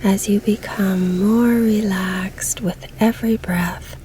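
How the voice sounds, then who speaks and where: A woman leading a scripted guided meditation in a soft, breathy, soothing delivery with long pauses. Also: mid-range voice, a little hiss or hum. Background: calm background music.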